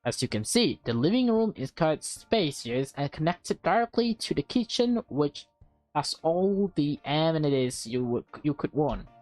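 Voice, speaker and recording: A woman's voice: sits low, very animated, unhurried, darkish tone, no echo, soft background music. A woman voicing a courteous, eager female real estate agent in a visual novel, with polite sales patter. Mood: polite, salesy.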